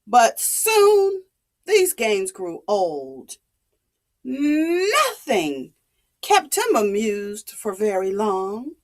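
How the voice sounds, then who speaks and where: Woman, children's storyteller, high voice, very slow pace, dramatic, expressive, swooping intonation. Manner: dramatic, expressive, deliberate delivery. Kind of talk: animated storytelling for young children.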